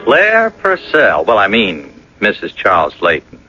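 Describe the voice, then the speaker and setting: A man's voice: lowish voice, wildly swooping pitch, unhurried, sharp tone, faint music behind, heavily acted. An actor playing a broke, ailing blackmailer in his thirties, wheedling and insinuating in a radio drama. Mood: sly, self-pitying. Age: 30s. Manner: wheedling, insinuating, calls her doll. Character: broke, ailing 39-year-old blackmailing ex-boyfriend, slyly menacing. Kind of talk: 1980s radio drama acting. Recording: dull lo-fi old radio broadcast.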